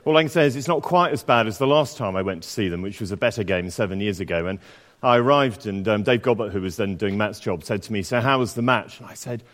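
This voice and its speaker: A man preaching a sermon and reading scripture aloud, with a slightly croaky voice and a conversational, self-deprecating manner full of fillers and restarts. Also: fairly low pitch, fast talker, fairly bright tone. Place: church. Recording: long church reverb.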